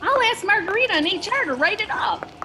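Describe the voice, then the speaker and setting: A woman's voice: extremely high pitch, animated, fairly quick, bright, forceful tone, a little hiss or hum, exaggerated voice. A woman playing a pragmatic, cynical meatpacking boss in a satirical radio play, dry and mock-earnest. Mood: scheming.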